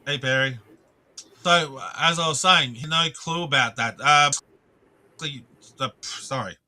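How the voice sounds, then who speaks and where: A man's voice: a little high, a little slow, sharp tone. A man ranting on a live stream, rambling and restarting his sentences, swearing and breaking off to greet viewers in the chat.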